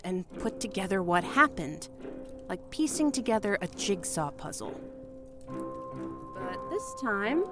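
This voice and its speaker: A woman's voice: medium pitch, fairly bright tone. A woman acting in an audio drama as a terrified investigator who anxiously stammers her way through a story. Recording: music behind the voice.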